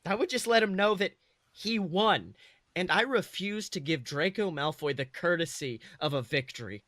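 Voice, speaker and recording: A man's voice: high voice, expressive, normal speed, fairly bright tone, no room sound. A man voicing an eager, proud eleven-year-old wizard boy who tells his own story in a chatty, self-correcting way. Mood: bitter. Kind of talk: first-person dramatic storytelling.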